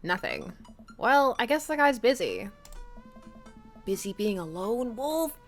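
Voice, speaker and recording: A woman's voice: a little high, very animated, slow pace, soft background music. Giggly young woman streaming a game. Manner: giggly, laughs often between lines; chatty, asks viewers questions. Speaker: streamer playing a dating game. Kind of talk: casual let's-play chat, reading game text aloud.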